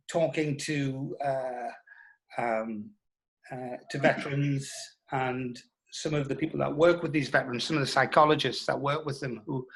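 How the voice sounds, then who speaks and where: A man talking reflectively in an interview, thoughtful and halting, repeating words for emphasis. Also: medium pitch, vocal fry, fairly bright tone, low-quality recording. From North East England. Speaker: interview guest, writer.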